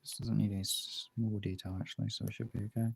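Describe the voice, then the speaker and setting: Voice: medium pitch, little pitch movement, fast talker. A young adult livestream host chatting casually and informally while drawing, often muttering to themselves between replies to viewers. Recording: clear background noise, clicks.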